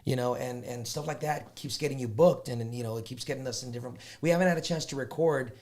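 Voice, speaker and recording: Easygoing musician, middle-aged. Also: male voice, medium pitch, fast talker, a little mellow. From San Antonio, Texas. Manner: easygoing, rambling, with many restarts and you knows. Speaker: musician guest.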